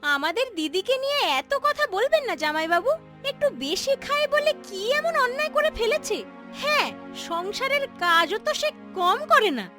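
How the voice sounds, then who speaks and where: A young village wife in a cartoon fairy tale, dutiful but pert with her elders. Her voice is extremely high and very animated in pitch. Music plays behind her. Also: normal speed, full character voice. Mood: pert, tart. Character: young village daughter-in-law, dutiful but pertly answering back.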